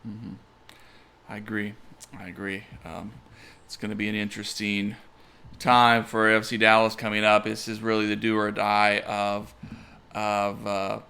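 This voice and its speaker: A man co-hosting a casual soccer podcast, weighing points in a measured, analytical way. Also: lowish voice, completely flat delivery, vocal fry, sharp tone, a little hiss or hum. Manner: measured, analytical, reads listener questions. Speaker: podcast co-host. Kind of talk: casual sports chat.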